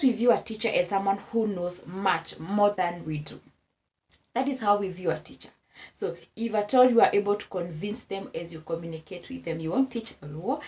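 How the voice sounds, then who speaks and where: A woman with a Kenyan accent speaks to camera in a relaxed vlog style. She often says a point twice to drive it home. Her voice sits mid-range, and she breaks into lively exclamations. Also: mid-range voice. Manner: repeats phrases for emphasis, animated exclamations. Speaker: vlogger. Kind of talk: casual advice talk to camera.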